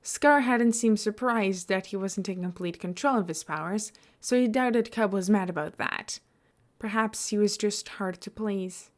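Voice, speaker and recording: Woman reading a story out loud, medium pitch, audiobook type narration. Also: a little slow. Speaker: podfic reader. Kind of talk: scripted fiction narration.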